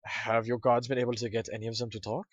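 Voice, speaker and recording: A man's voice: medium pitch, level tone, fast, dry recording, highs cut off, lightly acted. A man playing an earnest, bookish male pony researcher in an audio drama, polite, deferential and a little hesitant. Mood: earnest, regretful. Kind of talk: scripted audio drama acting.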